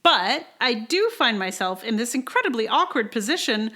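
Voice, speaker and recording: A woman's voice: on the high side, big pitch swings, normal speed, pushed and bright. A woman in her forties hosting a podcast in a conversational, wry way, recorded on a travel mic in a slightly echoey house. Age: 40s. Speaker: podcast host reading own blog post. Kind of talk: casual intro, then read-aloud personal essay. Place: indoors in a sparsely cushioned house. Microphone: portable travel microphone.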